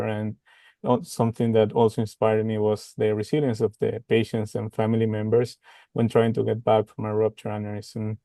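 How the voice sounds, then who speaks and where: A man from Ecuador with a gravelly, very dark voice speaks steadily and level. The sound is dry and close, with no room. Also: fairly low pitch, no room sound. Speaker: medical doctor, researcher. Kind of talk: interview answers.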